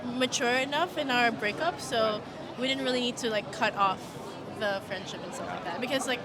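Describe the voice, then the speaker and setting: A woman's voice: a little high, normal speed, a loud crowd. A young woman from Singapore, a university student being interviewed on the street, talking chattily with many "like"s and "um"s. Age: young adult. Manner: chatty, lots of "like" and "um".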